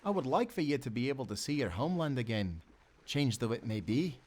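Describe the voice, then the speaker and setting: A devoted sailor played by a man in a seafaring audio roleplay, with a medium-pitched voice. He speaks earnestly and gently, as to a close companion. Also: big pitch swings, brisk. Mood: curious, sympathetic. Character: loyal, tender young sailor, devoted companion. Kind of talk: intimate nautical audio roleplay acting.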